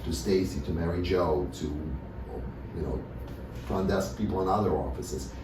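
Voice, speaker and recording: A man from Russia speaks in a very deep voice, answering questions in a halting way with many "you know"s and restarts. He sounds set back from the mic in an echoey room. Steady background noise runs under his voice. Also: far from the mic. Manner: hesitant, many fillers and restarts. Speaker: dentist, interview guest. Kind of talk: casual interview answers.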